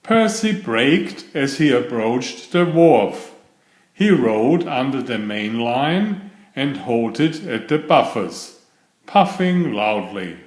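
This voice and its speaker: A man reading a children's bedtime story aloud in a deliberate, expressive storybook manner. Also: mid-range voice. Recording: roomy, echoing voice recording.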